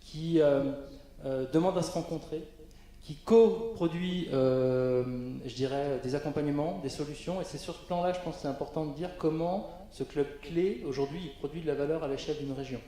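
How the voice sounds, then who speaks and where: A man's voice: a little high, moderate pace, mellow. A man presenting on a conference panel, hesitant, with frequent fillers and restarts. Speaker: panel speaker. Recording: very echoey conference hall.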